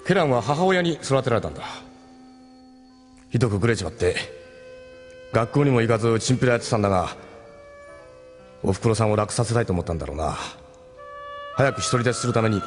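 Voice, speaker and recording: A man acting a steady, protective captain in a sci-fi audio drama. His mid-pitched voice is measured and gruffly fatherly, with clear background music behind it. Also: close to an everyday voice. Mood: reflective, concerned. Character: steady, protective male captain of a rebel pilot team.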